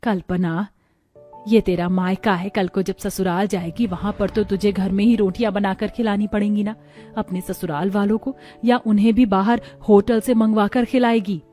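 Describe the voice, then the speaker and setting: A woman's voice: medium pitch, fairly even intonation, normal speed, dark tone, soft background music, close to an everyday voice. A woman voicing a worried, scolding middle-aged mother in a cartoon.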